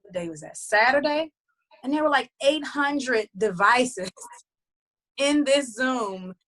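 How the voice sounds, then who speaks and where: A woman's voice: high-pitched, expressive, slow pace, a bit bright. A woman recording artist who also runs a label, talking loosely and chattily in a casual interview, with many fillers and restarts.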